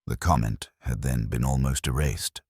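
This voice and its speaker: A man reading scripted literary mystery narration in a measured, dry, wry manner. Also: very deep voice, husky, no room sound.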